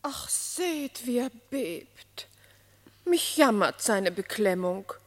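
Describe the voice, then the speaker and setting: A woman's voice: a little high, animated, takes it slowly. Solemn queen in a reverberant hall. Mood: pitying, imploring. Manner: solemn, declamatory. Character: solemn, compassionate animal queen urging confession. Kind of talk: classic German verse radio drama acting.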